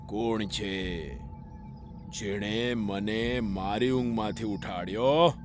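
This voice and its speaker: A man's voice: fairly low pitch, takes it slowly, music in the background. A man voicing an ancient, stern jinn who guards a treasure in a children's cartoon story, commanding and full of warning. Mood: angry, demanding.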